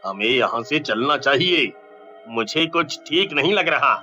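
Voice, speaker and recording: A man's voice: mid-range voice, animated, measured pace, bright, forceful tone, muffled recording, faint music behind. A man playing a sleazy young village sidekick in a cartoon story, scheming, easily panicked and wheedling. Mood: uneasy. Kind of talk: Hindi cartoon story voice acting.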